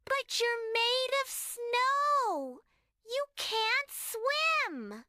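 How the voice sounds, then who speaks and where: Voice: very high-pitched, expressive, very slow, bright, forceful tone, no room sound, clean studio sound, full character voice. A performer voicing a playful, kind little girl in a children's bedtime story, proud and giggly. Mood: surprised.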